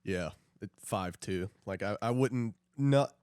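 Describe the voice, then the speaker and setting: A man's voice: lowish voice, takes it slowly, a little mellow, clear, resonant tone, dry recording. A young man co-hosting a podcast in a casual, conversational way among friends. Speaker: podcast co-host.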